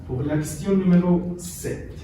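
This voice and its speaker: A man playing a quiz show host in a scripted amateur dramatisation, asking in a halting, deliberate way. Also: sits high, fairly even intonation. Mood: presenting. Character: male quiz show host questioning contestants. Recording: very echoey, distant, loud background noise.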